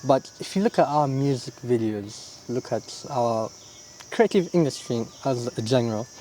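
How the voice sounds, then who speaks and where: Young man, easygoing and thoughtful, mellow tone, faint night insects behind. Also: medium pitch, normal speed, a little hiss or hum. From Uganda. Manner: relaxed, reflective, many "yeah?" and "you know?" tags. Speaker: interview guest, illustrator. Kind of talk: casual interview answers.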